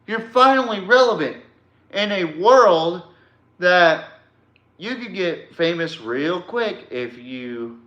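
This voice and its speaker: Slow-paced, blunt man with a bright voice. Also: high voice, animated, dull audio. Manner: blunt, confrontational, slangy. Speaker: sports podcast host. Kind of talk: combative sports rant addressed to a rival. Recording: echoey room.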